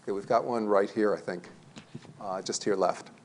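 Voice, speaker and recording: A man's voice: fairly low pitch, fairly even intonation, a little fast, darkish tone. A man moderating a panel discussion before a live audience, talking in a conversational, self-deprecating way. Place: event hall before an audience.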